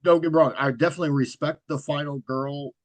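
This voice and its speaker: Even-toned man chatting as a guest. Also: on the high side, fast talker, no echo. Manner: self-described monotone, even delivery. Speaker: guest, horror content creator. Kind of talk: casual interview chat.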